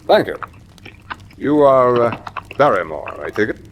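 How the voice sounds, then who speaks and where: Lively, bold young heir, noisy backdrop. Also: male voice, mid-range voice, measured pace. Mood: courteous. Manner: hearty, emphatic, plain-spoken. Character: bold, eager baronet heir returning to ancestral home. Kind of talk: radio drama dialogue. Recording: noisy background ambience.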